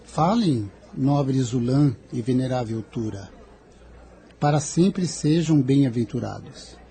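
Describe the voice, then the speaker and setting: A man playing a venerable, solemn leader presiding over an alien council, ceremonious and measured, in an audio drama. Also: mid-range voice, animated, dark tone. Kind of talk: spiritist audio drama acting.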